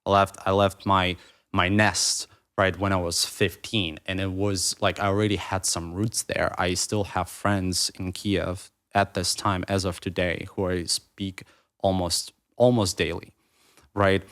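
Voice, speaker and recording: A man's voice: deep voice, flat delivery, unhurried, fairly bright tone. A man in his thirties, originally from Ukraine with Russian as his first language, talking reflectively as a podcast guest, rambling with restarts and fillers. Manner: rambling, restarts mid-thought, many fillers. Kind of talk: reflective conversational interview.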